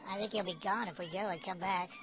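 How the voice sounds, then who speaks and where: A man's voice: high-pitched, steady tone, quick pace, a bit bright, faint music behind. An older man giving casual gameplay commentary at home, thinking aloud, mocking himself and cursing mildly. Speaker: let's play gamer. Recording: dull lo-fi home recording.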